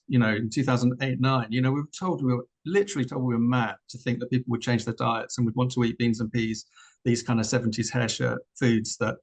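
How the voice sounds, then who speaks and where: Man, fast talker, earnest, restarts a lot, webinar panelist on a video call. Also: medium pitch. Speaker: founder of a pulse community project.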